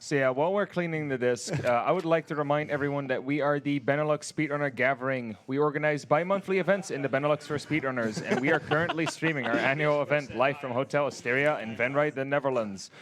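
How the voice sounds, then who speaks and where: A man hosting a live event stream who makes brisk, friendly announcements. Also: on the high side, a bit bright. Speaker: event host. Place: event venue at a hotel.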